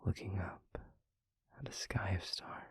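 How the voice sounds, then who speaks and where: A man playing a tender, wistful demon lover in an intimate audio roleplay, whispering softly and haltingly in a breathy, husky voice, close to the mic. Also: dry recording, natural voice. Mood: dreamy, loving. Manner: slow, halting, soft-spoken delivery. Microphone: close microphone.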